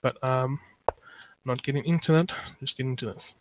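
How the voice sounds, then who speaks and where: A man's voice: medium pitch, steady tone, normal speed, noisy background. A man from South Africa giving a live online chess lecture, thinking aloud with many fillers and reading out chat messages.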